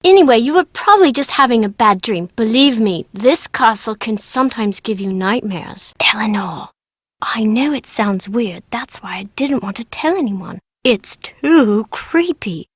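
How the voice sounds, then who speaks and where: A woman's voice: on the high side, animated, a little slow, slightly rough, no room sound, clean studio sound. A woman voicing a playful, mischievous British schoolgirl in a video game, chatty and childlike. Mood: uneasy, confiding. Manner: childlike, informal, bargaining. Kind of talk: video game character dialogue.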